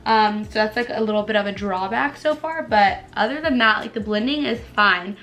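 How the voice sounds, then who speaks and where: A young woman vlogger chatting bubbly and casually through a makeup tutorial, her voice a little hoarse from allergies, with lots of "like" fillers. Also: medium pitch, moderate pace, fairly bright tone. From United States. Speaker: beauty vlogger.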